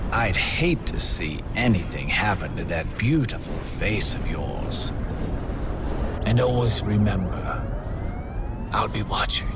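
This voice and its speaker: An actor playing a courteous, sinister game master who speaks in a measured, polite and ominous way in video game cutscenes. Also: male voice, lowish voice, very noisy. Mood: quietly menacing. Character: courteous, sinister male contest master, mockingly solicitous, menacing. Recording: loud music under the voice.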